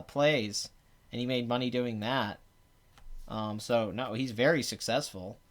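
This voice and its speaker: Male host, raspy and phlegmy, casual rambling commentary with blunt sarcastic asides. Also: mid-range voice. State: clogged throat. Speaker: box office show host.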